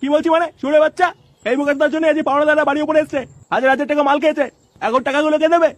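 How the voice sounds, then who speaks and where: A man's voice: extremely high pitch, steady tone, rapid-fire, dry recording. A man voicing a hot-tempered, foul-mouthed father in a comedy cartoon, scolding crudely. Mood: exasperated. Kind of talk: exaggerated Bengali comedy cartoon dubbing.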